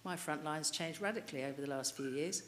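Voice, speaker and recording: A woman's voice: deep voice, fast, a bit bright. A middle-aged woman preaching a sermon at a church lectern mic, earnestly putting questions to the congregation. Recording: reverberant church hall, light hiss.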